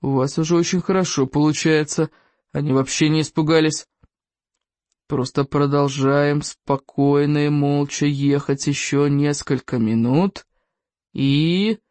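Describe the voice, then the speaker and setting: A gruff, exasperated Viking instructor from a storybook, acted out by a narrator, barking drawn-out orders. The delivery swings widely in pitch, and the recording is dry. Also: very animated, no room sound. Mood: encouraging. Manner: drawn-out commanding shouts and growls. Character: loud gruff bellowing male Viking teacher, bossy and exasperated.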